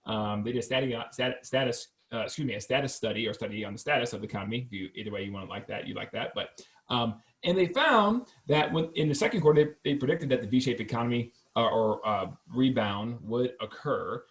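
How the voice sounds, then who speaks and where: A man's voice: mid-range voice, fairly quick. Joking man leaning on "right?". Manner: energetic, joking, fact-focused, says "right?" often. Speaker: real estate podcast host. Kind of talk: casual podcast conversation. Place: office. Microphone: podcast microphone.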